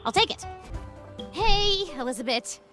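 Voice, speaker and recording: A curious young huntress for a video game. Her voice is high and expressive, with a casual, wry friendliness. Faint music is behind her. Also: measured pace, exaggerated voice.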